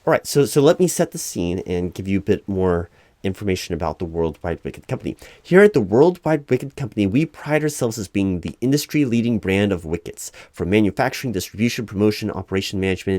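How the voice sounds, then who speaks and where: Low-voiced man as a halting, officious interviewer. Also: darkish tone, no room sound. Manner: halting, officious, patiently explanatory. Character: flustered, officious male recruiting manager at a company. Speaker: job interviewer in a one-sided roleplay. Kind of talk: loud-spoken ASMR roleplay, improvised interview.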